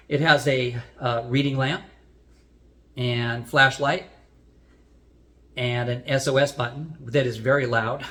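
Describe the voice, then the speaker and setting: A man's voice: mid-range voice, fairly bright tone. A man hosting a product review, explaining things in a plain, unscripted way as he demonstrates.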